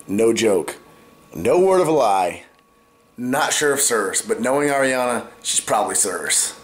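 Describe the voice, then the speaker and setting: A man's voice: medium pitch, animated, normal speed. A young man vlogging casually to his viewers, stuffed up from a cold and partly losing his voice, explaining things step by step. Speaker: fitness vlogger. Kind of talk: casual vlog talk to camera.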